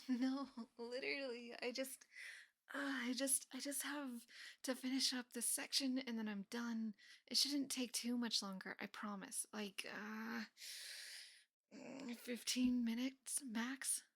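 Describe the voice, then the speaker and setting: Woman acting young female best friend, affectionate teasing, soft half-whispered delivery, slightly high voice, unhurried, intimate roleplay audio, dry sound. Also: fairly even intonation, no echo. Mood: distracted, apologetic. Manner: soft, often dropping into whispers, sighing.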